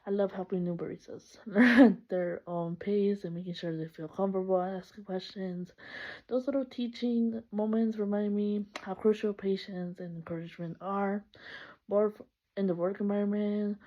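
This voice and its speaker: A young woman from El Salvador introducing herself for a class, chatty and upbeat, laughing often and correcting herself. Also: medium pitch. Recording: slightly muffled lo-fi recording.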